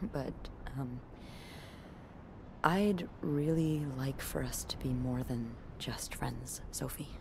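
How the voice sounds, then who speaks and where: Low-voiced, confiding woman. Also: background noise. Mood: vulnerable. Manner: halting, confiding. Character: guarded, caring woman opening up to a friend. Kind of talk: naturalistic video game acting.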